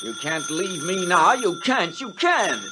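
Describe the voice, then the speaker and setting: An actor in an old radio drama playing a panicky scientist who stammers and pleads in a whine. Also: male voice, high voice, very animated, measured pace, noisy background. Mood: pleading, panicky. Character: panicky, whining male scientist and publicity man, sniveling.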